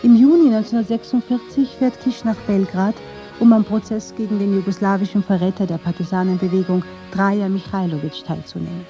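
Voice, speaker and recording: A woman narrating a scripted documentary in a measured, factual manner. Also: medium pitch, fairly even intonation, warm tone, lo-fi sound, faint music behind.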